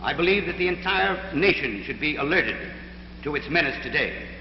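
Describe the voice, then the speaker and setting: An American man with a slightly high voice testifies formally, halting but earnest. He is heard in a big echoing hall on dull, noisy 1940s film. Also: expressive. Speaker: witness at a congressional hearing. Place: large indoor hearing room.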